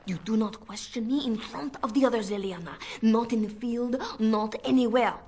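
Husky, commanding seductress spymaster. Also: female voice, a little high, moderate pace, a little mellow. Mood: stern, commanding. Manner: coaxing, commanding, condescending endearments. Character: sly, controlling, seductive woman spymaster. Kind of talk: video game voice acting.

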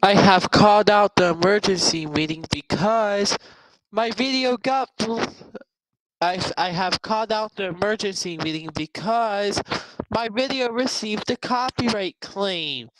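A man hosting an online meeting, making formal announcements in a halting, repetitive way on a video call. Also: high voice, a bit bright, dry recording, poor audio. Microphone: video call microphone.

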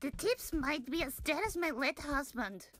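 A woman's voice: high voice, animated, moderate pace, a bit bright. A woman voicing a catty, scatterbrained female hair stylist in a cartoon, fussy, mock-sweet and dramatic. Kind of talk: animated cartoon voice acting.

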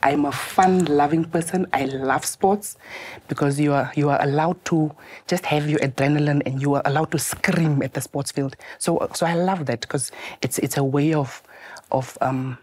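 A woman with a loud voice, answering interview questions in a studio in a lively, joking way with hesitant restarts. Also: sits low, moderate pace, slightly rough. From Namibia. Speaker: tax officer guest. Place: TV studio, seated.

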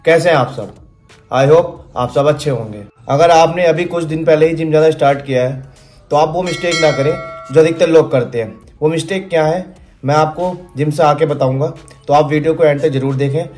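A man's voice: on the high side, fairly quick, darkish tone, soft background music. A young man vlogging to camera in a room at home, giving direct, friendly fitness advice. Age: young adult. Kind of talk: casual talking-to-camera fitness tips.

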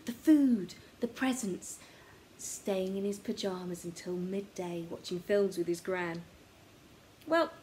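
A woman's voice: medium pitch, a little mellow, rough recording. Woman reading a children's story, faint noise. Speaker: story reader. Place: room at home. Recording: faint noise, home recording.

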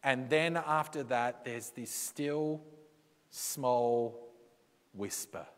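A man preaching a sermon in a church, slow and deliberate, with dramatic pauses and quotes he acts out. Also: medium pitch. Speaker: pastor. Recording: reverberant church hall.

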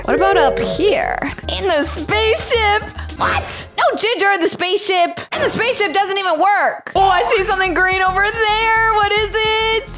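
Very high-pitched, animated young woman. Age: 20s. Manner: dramatic, exclaiming, playful, drawn-out reactions. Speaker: host of a gaming show for kids. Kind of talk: exaggerated gameplay commentary for children. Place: small indoor streaming room. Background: music.

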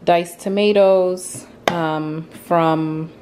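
A woman's voice: fairly low pitch, fairly even intonation, extremely slow, background noise. A woman in her thirties chatting casually in her kitchen, venting with small laughs while showing groceries. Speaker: home vlogger. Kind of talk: casual grocery haul and cleaning chat. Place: home kitchen. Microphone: handheld phone camera.

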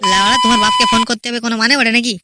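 An irritable young husband from a village comedy cartoon, acted out by a man, scolds crudely in a very high voice. He sounds excitable and exasperated, over heavy noise. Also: racing, exaggerated voice. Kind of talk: amateur comedy cartoon dubbing. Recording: very noisy amateur cartoon audio.

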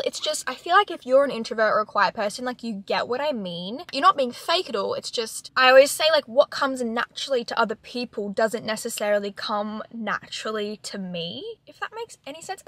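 A woman's voice: a little high, fairly bright tone, no echo. A woman in her twenties with an Australian accent, chatting casually to a phone camera as a vlogger, upbeat and full of fillers. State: congested with sore throat. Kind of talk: casual vlog chat. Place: parked car. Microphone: phone held at arm's length.

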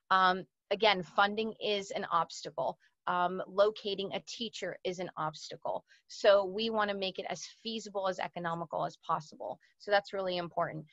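A woman's voice: medium pitch, fairly bright tone, no room sound. A woman in her thirties, a native Portuguese speaker, giving an informal webinar presentation over a lo-fi video call. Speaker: presenter, language educator. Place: small indoor room. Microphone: computer mic on a video call. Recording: dull, lo-fi video-call audio.

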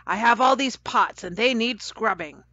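A woman's voice: fairly high pitch, normal speed, pushed and bright, no room sound, voice pushed up. A woman doing old Olga, tired and scolding. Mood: weary scolding. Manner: complaining, nagging, guilt-tripping. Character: weary older woman servant, scolding and grumbling. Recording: dull lo-fi, dry.

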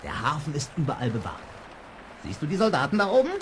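A man's voice: on the high side, very animated, quick pace. An actor playing a boatman guide who tells spooky tales with dramatic suspense in a German children's audio drama. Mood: ominous, suspenseful. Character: male boatman guide, teasing spooky storyteller. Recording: noisy vintage radio play with ambience.

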